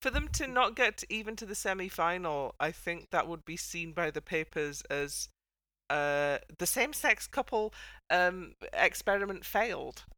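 Bright, clear-voiced woman hosting. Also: lowish voice, lively intonation, moderate pace, no echo. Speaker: podcast host. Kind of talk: casual panel chat.

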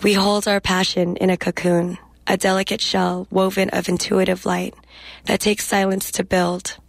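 A young woman guest speaking reflectively in a radio interview and reading her poetry in a measured way. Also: medium pitch, level tone, creaky, dry recording. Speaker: guest poet.

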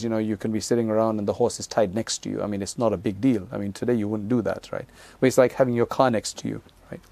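A man's voice: mid-range voice, gravelly, dark tone, no echo. A man teaching a religious lesson, retelling stories and quoting Arabic before explaining them in English with frequent asides. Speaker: Islamic teacher. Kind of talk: religious lecture retelling hadith.

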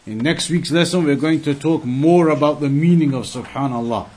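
High-pitched man teaching a religious lesson. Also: normal speed, darkish tone. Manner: recites Arabic quotations, then explains them in English.

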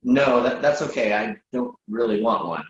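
A man acting a reluctant, sensible friend with a halting, incredulous manner in a readers theatre comedy, recorded in an echoing room. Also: mid-range voice, expressive, muffled recording, lightly acted. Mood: resigned. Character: exasperated, reluctant male friend, sensible but awkwardly polite.

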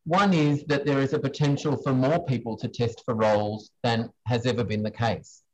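Halting man answering questions. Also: medium pitch, steady tone, a little mellow, poor audio. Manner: hesitant, halting, searching for words with long pauses. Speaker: guest speaker, screen industry professional answering questions. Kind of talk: unscripted question-and-answer talk.

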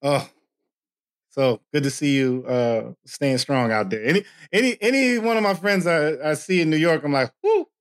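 A man's voice: a little high, animated, normal speed. A man hosting a podcast interview over a video call, talking casually with many fillers and restarts. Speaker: podcast host.

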